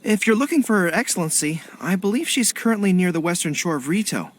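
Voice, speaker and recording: Earnest loyal young male officer, high and expressive,. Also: fast, background noise. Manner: polite, earnest, dutiful. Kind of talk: game cutscene voice acting.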